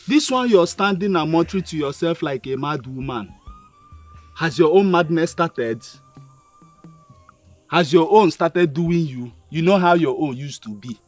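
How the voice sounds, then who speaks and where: An actor playing Udoka, a young man gone mad who mutters to himself and then shouts at his mother. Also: medium pitch, animated, measured pace, long pauses, faint music behind. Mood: mocking.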